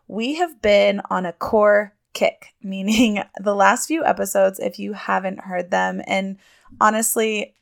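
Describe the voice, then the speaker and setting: A woman in her thirties hosting a podcast in a chatty, emphatic way, a little sniffly and congested. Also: medium pitch. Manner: chatty, emphatic, laughs often. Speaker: podcast host. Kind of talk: casual podcast talk.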